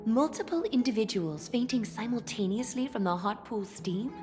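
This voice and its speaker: An actress playing a solemn, composed noblewoman leader in a video game, formal, measured and reserved. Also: on the high side, natural voice. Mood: stern, composed. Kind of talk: scripted video game voice acting. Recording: loud background music. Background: music.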